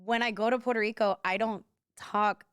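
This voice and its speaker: Young woman, Miami accent, co-host, smooth clear voice, close dry mic, chatty. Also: mid-range voice, fairly even intonation, fairly bright tone, no echo. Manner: chatty, frequent "like" fillers, quick laughs. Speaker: podcast co-host. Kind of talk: casual podcast chat.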